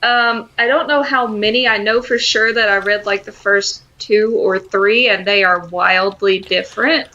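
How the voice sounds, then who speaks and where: A woman's voice: medium pitch, fairly even intonation, unhurried, hardly any pauses, pushed and bright, lo-fi sound. A woman co-hosting a podcast, talking in an informal, slangy way about pop culture. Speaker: podcast co-host. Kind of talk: casual pop-culture chat.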